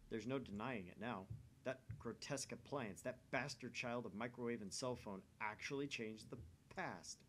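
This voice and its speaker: A man's voice: mid-range voice, normal speed, fairly bright tone, close to an everyday voice. A man voicing an intense, bewildered young man from a visual novel, dramatic and questioning. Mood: dramatic, troubled. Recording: faint background noise.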